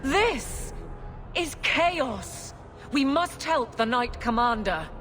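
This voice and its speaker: Earnest female companion fighter, fantasy game: slow, higher pitch, loud score. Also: expressive, soft background music, full character voice. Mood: alarmed. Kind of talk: fantasy video game voice acting.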